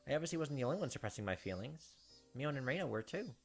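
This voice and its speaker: A man's voice: medium pitch, big pitch swings, quick pace, breathy edge, soft background music. A man hosting a let's play, chatting casually and reading the game's story text aloud.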